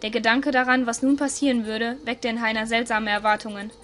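Female narrator, somewhat high pitch, scripted storytelling, faint music behind. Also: level tone, fairly quick, fairly bright tone.

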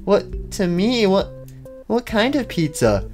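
Girl doing a cheeky dim robot chicken lady, cartoon comedy, super animated, music in the back. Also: mid-range voice, slow. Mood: flustered. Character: dim, food-loving female animatronic chicken, cheeky and excitable. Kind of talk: improvised comedic character roleplay.

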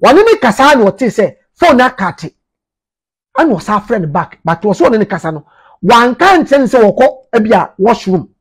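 A man's voice: high voice, big pitch swings, moderate pace, slightly rough. A man in his thirties with a Ghanaian accent, giving informal commentary to camera and emphatically repeating his phrases. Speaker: media commentator. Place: small indoor office.

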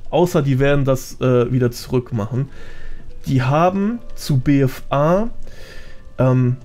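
A man's voice: mid-range voice, darkish tone. Man chatting casually, music underneath. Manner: colloquial, mixes in English gaming slang. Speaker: podcast guest, gaming content creator. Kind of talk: casual gaming podcast chat.

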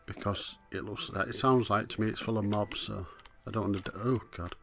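A man with a hoarse, coughing voice, casually muttering his way through a video game as he plays. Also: on the low side, steady tone, brisk, over music. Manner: muttering to himself, easygoing, frequent "right". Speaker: let's play host. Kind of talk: casual gameplay commentary.

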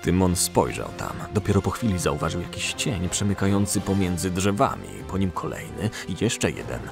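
A man's voice: sits low, very animated, normal speed, vocal fry. A man narrating a story in a scripted storytelling style for an audio drama. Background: music.

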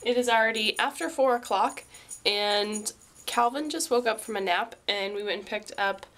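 A woman's voice: on the high side, moderate pace. A young woman with a bit of a cold, vlogging chattily to a handheld camera in her bedroom. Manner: chatty, animated, rambling. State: congested from a cold. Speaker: family vlogger. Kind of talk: casual vlog to camera. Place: bedroom at home. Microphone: handheld camera at arm's length.

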